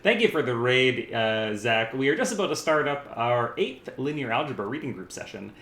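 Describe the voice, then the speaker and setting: A man's voice: mid-range voice, animated, moderate pace, a bit bright. A man hosting a live math reading group stream, welcoming viewers in a loose, stumbling way. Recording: roomy echo.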